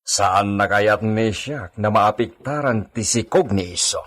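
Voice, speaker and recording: A man's voice: fairly low pitch, measured pace, fairly bright tone. A man with an Ilocano accent playing a stern, curt, formal master of a villa in a radio drama. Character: stern, imperious master of a villa, Señor Esteban.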